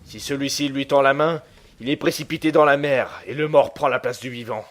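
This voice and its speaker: A man playing a steady, commanding and superstitious ship's captain in an audio drama, firm and solemn. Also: mid-range voice, brisk, lightly acted. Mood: grave, foreboding. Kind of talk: dramatic dialogue, audio fiction. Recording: noisy ambience around the voice.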